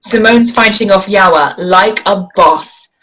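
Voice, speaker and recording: A woman narrates stage directions at a screenplay read. Her voice sits at an average pitch. The delivery is scripted narration. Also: unhurried, a bit bright, soft background music, poor audio.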